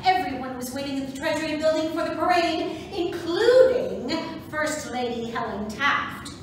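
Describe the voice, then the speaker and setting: A proud suffragist organizer played by an amateur woman reader declaims in a high, lively voice at a measured pace. It is a stage reading captured from far off in a very echoey room. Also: slight background noise. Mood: storytelling. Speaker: volunteer reader in a museum reading play. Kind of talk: amateur reader's theatre monologue.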